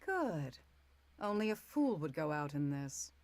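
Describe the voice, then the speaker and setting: A woman's voice: low-pitched, very animated, darkish tone, full character voice. A woman playing a courteous middle-aged mother in a visual novel, speaking formally and with veiled menace. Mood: probing, veiled menace. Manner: formal, measured, polite. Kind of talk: visual novel character acting.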